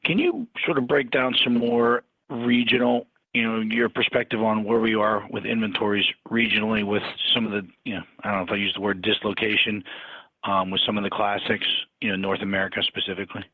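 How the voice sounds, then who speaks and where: A man's voice: fairly low pitch, little pitch movement, fairly quick, no room sound. A man calling in as a financial analyst to put a tentative, roundabout question on an earnings call. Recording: husky-sounding narrow dry phone line.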